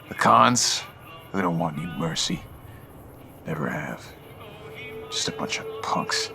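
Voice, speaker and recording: An actor voicing a gruff, terse retired soldier turned caravan guard in a video game. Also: male voice, sits low, exaggerated voice. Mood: stern disapproval. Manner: terse, matter-of-fact, stern. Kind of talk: video game character dialogue.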